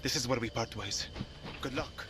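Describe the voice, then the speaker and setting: An actor playing a wounded shepherd in an audio game, guarded and terse, with a dry, wry delivery. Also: male voice, mid-range voice, moderate pace, background noise, lightly acted.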